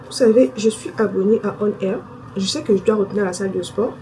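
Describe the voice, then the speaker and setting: A woman's voice: medium pitch, fast talker, mellow, slight background noise, low-quality recording. A young woman chatting casually to her viewers, thinking aloud with fillers. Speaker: vlogger. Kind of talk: casual vlog chat to camera.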